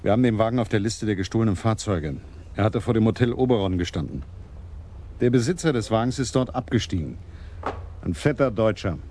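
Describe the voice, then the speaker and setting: An actor playing a plain-spoken patrol constable giving reports in a radio crime drama. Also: male voice, fairly low pitch, lively intonation, brisk, mellow, close to an everyday voice. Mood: matter-of-fact. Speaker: police constable. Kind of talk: 1980s radio drama acting.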